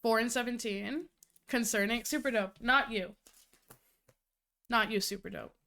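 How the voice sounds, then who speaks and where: A woman's voice: on the high side, a little slow, gravelly, sharp tone. A young adult woman streaming, chatty and profane, who sounds nasal and congested from a cold. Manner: chatty, profane, laughs often. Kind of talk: casual live-stream chat.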